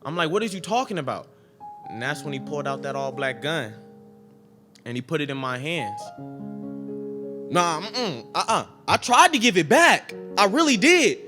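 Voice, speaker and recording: A man's voice: mid-range voice, animated, unhurried, pauses often, close to an everyday voice. A man performing a slangy, emotional stage monologue as a scared young street kid. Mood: uneasy, fearful. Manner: slangy, emotional storytelling, acting out each speaker. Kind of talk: dramatic spoken monologue on stage. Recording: piano music playing behind the voice.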